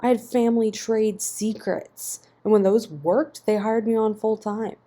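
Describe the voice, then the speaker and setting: A man's voice: sits high, fairly even intonation, measured pace, voice pushed up. A woman voicing an angry, resentful, foul-mouthed man who snaps and swears in a narrated story. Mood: defiant anger. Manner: snapping, profane, forceful.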